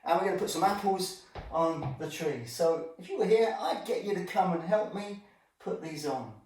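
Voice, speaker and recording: A man's voice: high voice, animated, moderate pace, darkish tone, a little hiss or hum. A man telling an informal children's Bible story, chatting directly to his young listeners as he presents. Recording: echoey room, distant microphone.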